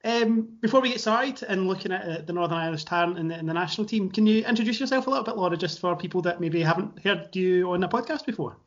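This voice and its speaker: A man's voice: high-pitched, quick pace, hardly any pauses, highs cut off. A man hosting a casual podcast interview, chatty with fillers and restarts. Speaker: podcast host.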